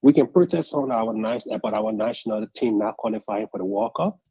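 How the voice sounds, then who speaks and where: A man calling in to a radio talk show, speaking in a deliberate, measured way that builds to emphatic points. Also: medium pitch, animated, vocal fry, husky, no room sound, highs cut off. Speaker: caller. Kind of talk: call-in radio commentary.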